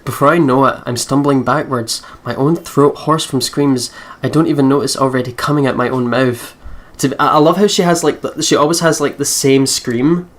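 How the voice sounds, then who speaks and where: A man's voice: mid-range voice, fairly even intonation, moderate pace, hardly any pauses. A man reading a visual novel aloud as a let's player, with casual asides.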